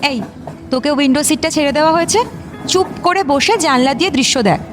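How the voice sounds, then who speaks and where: An actress playing a lively, teasing young woman in a Bengali audio drama, expressive and playfully whiny, mixing in English slang. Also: high voice, brisk, over music, lightly acted. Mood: bossy banter. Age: young adult.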